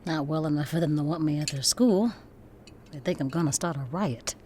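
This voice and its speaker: An actress playing a proud, defiant young student activist in an audio drama, with an American accent and an articulate, husky delivery. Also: female voice, deep voice, a little fast, dark tone, slight background noise, lightly acted. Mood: dejected. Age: young adult.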